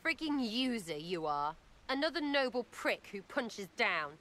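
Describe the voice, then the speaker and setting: A scrappy young English rogue woman, cheeky and foul-mouthed. Her pitch leaps about in a lively way and the tone is bright and forceful, every remark blunt and slangy. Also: unhurried. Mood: scornful. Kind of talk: video game character acting.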